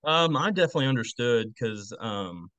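A man's voice: mid-range voice, animated, normal speed, a bit bright, smooth, no echo, muffled recording. A man in his late twenties from Texas, answering interview questions in a casual, plainspoken way. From Texas, United States. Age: late 20s. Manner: plainspoken, informal. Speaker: podcast guest, football coach.

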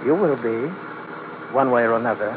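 Suave, scheming Chinese merchant villain played by a male actor, elaborately polite with quiet menace; vintage radio drama, narrow noisy sound. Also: mid-range voice, lightly acted. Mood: calm menace. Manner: formal, elaborately polite, unhurried. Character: suave, sly Chinese merchant crime boss, courteous menace. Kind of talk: old-time radio drama acting.

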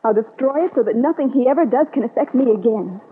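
A woman's voice: on the high side, expressive, fast talker, a little hiss or hum, lightly acted. Woman playing a bitter young niece, tinny vintage radio. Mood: bitter, resolute. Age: young adult. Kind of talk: old-time radio soap opera acting.

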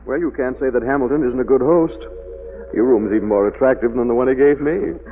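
An actor playing a suave, probing amateur detective in polished 1940s radio drama style, on an old recording. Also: male voice, mid-range voice, fairly quick, narrow, tinny audio, soft background music, lightly acted. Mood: composed, confiding. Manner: polished, assured, questioning.

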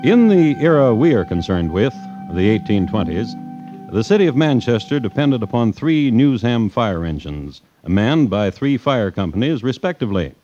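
A man narrating a scripted old-time radio drama as a storyteller, measured and wry. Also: medium pitch, big pitch swings, warm tone, faint music behind, close to an everyday voice. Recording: muffled old radio recording.

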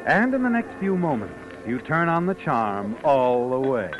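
An actor playing a knowing, needling storyteller in old-time radio suspense, narrating dramatically and deliberately. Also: male voice, mid-range voice, very animated, highs cut off, natural voice. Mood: sly. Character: knowing, insinuating male storyteller addressing the villain. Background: music.

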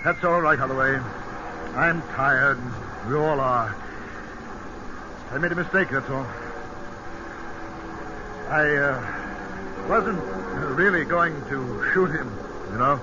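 A man's voice: fairly high pitch, slow pace, long pauses. A dutiful, reserved British major in a wartime radio play. His speech is clipped and formal, with an earnest, weary temper. The vintage broadcast sounds dull and heavily hissy. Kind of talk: old-time radio drama acting and narration.

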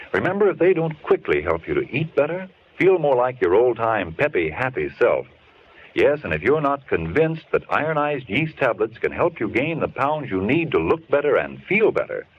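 Old radio announcer guy selling hard, lively swoopy voice, husky, muffled. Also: medium pitch. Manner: emphatic, salesman-like. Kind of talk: 1930s radio ad reading. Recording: dull old radio broadcast.

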